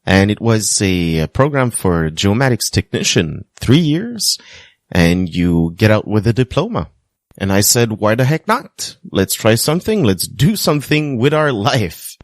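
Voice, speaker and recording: Slow, lively man. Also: lowish voice, no echo, clean studio sound. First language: French. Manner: earnest, self-deprecating, light humor. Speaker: podcast host. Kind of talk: personal storytelling monologue.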